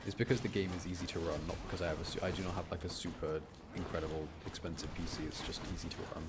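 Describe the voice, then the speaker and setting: A man's voice: low voice, fairly even intonation, rapid-fire, very noisy. A man giving casual, chatty live commentary as a game streamer, often explaining things and interrupting himself. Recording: music behind the voice. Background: music.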